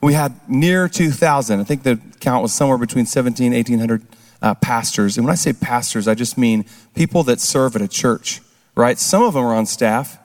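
Hoarse male speaker, mid-pitched, chatty testimony style, long reverb of a large church hall. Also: moderate pace, a little mellow. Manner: casual, engages the crowd with questions. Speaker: speaker at a recovery ministry service. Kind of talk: conversational testimony talk.

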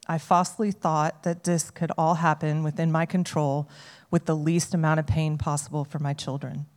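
A woman reading a prepared personal testimony to a group, in an earnest, measured way. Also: deep voice, little pitch movement, a little mellow. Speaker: speaker sharing a testimony. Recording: long hall reverb.

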